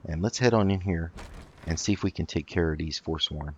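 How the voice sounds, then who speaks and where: A man with a low voice hosts a roleplay gaming session. He talks casually and hesitantly, thinking through his moves as he goes. The sound is a little dull, like a modest home recording. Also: brisk, darkish tone, slightly rough. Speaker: host of a video game roleplay let's play. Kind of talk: casual gameplay commentary.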